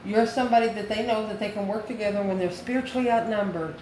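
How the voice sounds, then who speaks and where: A woman's voice: medium pitch, fairly even intonation, fast talker, a little mellow. Woman reading tarot in an echoey room. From United States. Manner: musing aloud, asks and answers own questions. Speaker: tarot card reader. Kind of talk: casual tarot reading, spoken off the cuff. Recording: echoey room, clear background noise.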